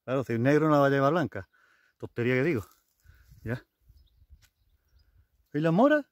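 Rambling Spaniard on a country walk. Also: male voice, mid-range voice, expressive, moderate pace, background noise. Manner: chatty, rambling, self-correcting, mild swearing. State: walking downhill over stones. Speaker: outdoor vlogger. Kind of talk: casual walking vlog. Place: outdoors in rural fields. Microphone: handheld camera on selfie stick.

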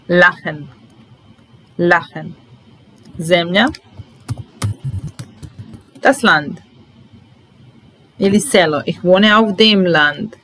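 A young woman teaching German vocabulary in a slow, deliberate drill, saying each word and repeating it calmly. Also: fairly low pitch, rough recording. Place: small indoor room at a desk.

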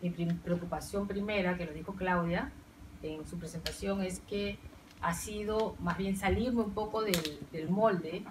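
A woman's voice: lowish voice, steady tone, unhurried. A middle-aged woman speaking on a university panel, reflective and searching for words. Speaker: panel guest writer. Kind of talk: panel discussion. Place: university lecture room, seated at panel table. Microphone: table stand mic heard from far camera. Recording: distant, faint hiss.

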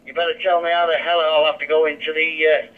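A man's voice: fairly high pitch, flat delivery, fast. A man chatting bluntly and informally as a radio ham, heard through a digital radio transmission. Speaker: amateur radio operator. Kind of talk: casual two-way radio chat. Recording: dull digital handheld radio speaker.

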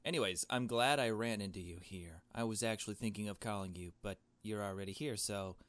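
A man reads as a blunt, haughty girl from a visual novel in a lowish voice. She is bossy and condescending, with a brisk delivery. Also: a bit bright, lightly acted.

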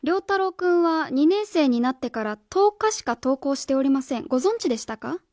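A woman's voice: high-pitched, expressive, brisk, darkish tone, no room sound, clean studio sound. A woman playing a stern, earnest young schoolteacher in a radio drama, formal, polite and probing. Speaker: voice actor.

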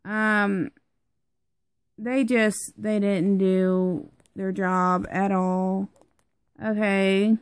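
A woman's voice: medium pitch, flat delivery, drawn-out words, long pauses, smooth, no room sound. Congested young woman rambling, dry sound. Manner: chatty, rambling, many fillers. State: congested, stuffed-up nose. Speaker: product reviewer. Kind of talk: casual rambling product review.

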